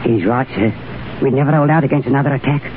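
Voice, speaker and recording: An actor in 1930s radio drama playing a worried besieged soldier with a tense, urgent manner. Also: male voice, medium pitch, fast talker, soft background music, natural voice. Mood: tense, uneasy. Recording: tinny, lightly noisy 1930s radio broadcast.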